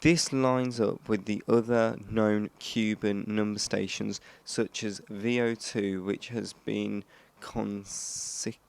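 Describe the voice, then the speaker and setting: Male host for scripted explainer narration: low, resonant voice with vocal fry, slightly stumbling read. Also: fairly even intonation, slow. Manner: stumbles over and re-reads scripted phrases. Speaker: host of a shortwave radio history channel.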